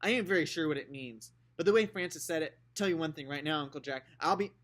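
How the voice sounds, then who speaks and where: A man's voice: on the high side, fast, a bit bright, close to an everyday voice. A man voicing a defiant young girl who argues her side, raised and indignant.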